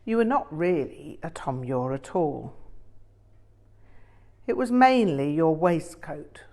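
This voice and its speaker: A woman reading the part of an older male Tibetan Buddhist master in an audiobook, telling stories in a deliberate, emphatic way. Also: low-pitched, expressive, mellow, exaggerated voice. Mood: teasing, amused.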